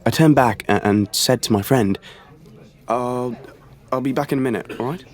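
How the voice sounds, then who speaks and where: A man's voice: mid-range voice, moderate pace, lightly acted. An actor playing a nervous, hesitant young British man in an audio play, stumbling over his words. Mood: startled, intent. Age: young adult. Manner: hesitant, stammering, self-interrupting. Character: awkward, nervous young man, eager and self-reproaching. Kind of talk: dramatic monologue and dialogue, audio play.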